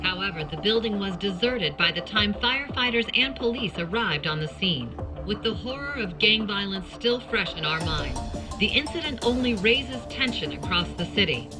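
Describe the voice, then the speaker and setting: A serious female news reporter acted by a woman delivers a scripted bulletin in a game. She is formal and measured, at an average pitch, with loud music behind her. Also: lively intonation, natural voice. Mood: sober, formal.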